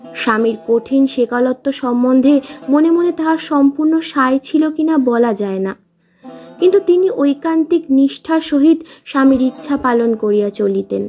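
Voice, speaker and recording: A woman reading literary fiction aloud in a measured, expressive storytelling manner. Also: high-pitched, faint music behind. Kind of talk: scripted literary narration.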